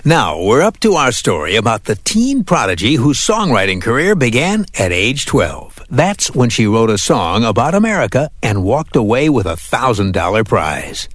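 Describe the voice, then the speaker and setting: A man hosting a weekly radio countdown show, reading scripted narration in a lively announcer style from a studio. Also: mid-range voice, no echo. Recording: music playing behind. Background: music.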